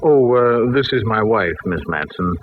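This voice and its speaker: An actor playing a polite, grieving, well-to-do husband in a 1940s radio drama, subdued in tone. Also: male voice, fairly low pitch, animated, measured pace. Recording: muffled, noisy old radio recording.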